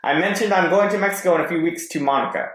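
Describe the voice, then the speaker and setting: Guy on a podcast, voice a bit high, roomy sound, kinda stumbly reading and chatting. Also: rapid-fire, fairly bright tone. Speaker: podcast guest. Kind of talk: reading forum posts aloud and casual discussion. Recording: roomy echo.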